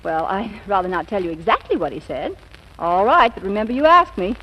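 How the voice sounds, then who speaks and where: An actress playing a sharp-witted, possessive secretary in a 1940s radio comedy, with a brisk, arch, scolding way of talking. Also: female voice, medium pitch, expressive, breathy edge, muffled recording. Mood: brisk, pointed. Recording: noisy, hissy old radio broadcast.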